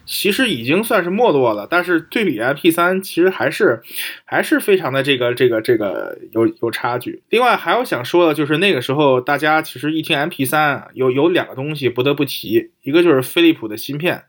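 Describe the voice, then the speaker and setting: A man's voice: a little high, expressive, brisk, a bit bright. A man in a casual technical discussion as a podcast co-host, often repeating phrases and checking in with tag questions. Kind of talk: casual technical discussion of portable audio players.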